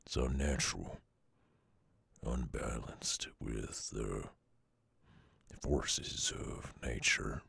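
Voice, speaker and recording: A man playing a weary, centuries-old werewolf with a gruff Southern drawl, halting and tender in an intimate ASMR roleplay. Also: very deep voice, a bit bright, no echo, full character voice. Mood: gruff, resigned. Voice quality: very gravelly, husky growl. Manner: halting, brooding drawl with long pauses. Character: gruff weary three-hundred-year-old male werewolf, guarded yet tender.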